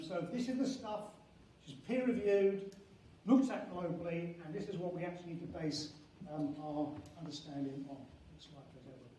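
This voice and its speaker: An older man giving an academic conference lecture as a professor, halting and restarting phrases, with emphasis on key words. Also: on the high side, mellow. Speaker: university professor and head of geography school. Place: large echoing conference venue indoors. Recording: very echoey hall, distant mic, faint noise.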